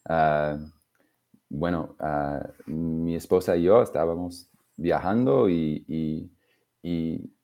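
Slow, halting man with a deep voice. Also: dark tone. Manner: halting, searching for words, many fillers. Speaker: singer-songwriter guest. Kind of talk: interview answers.

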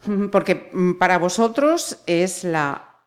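A woman's voice: lowish voice, animated, a little slow. A woman hosting a radio interview, prompting her guest and supplying words he is looking for. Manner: supportive, finishes the guest's sentences. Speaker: radio host.

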